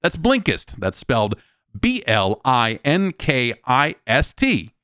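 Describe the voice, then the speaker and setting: A man talks casually as a solo podcast host. His voice is smooth and clean, recorded very dry. He rambles along in a wry, conversational manner. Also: mid-range voice, huge pitch swings, slow, a bit bright, no echo.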